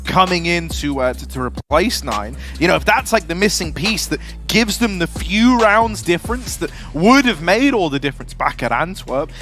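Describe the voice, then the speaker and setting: A man's voice: high-pitched, lively intonation, normal speed, hardly any pauses, music in the background. A man giving live esports commentary in a flowing, colloquial play-by-play style. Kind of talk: live Counter-Strike match commentary.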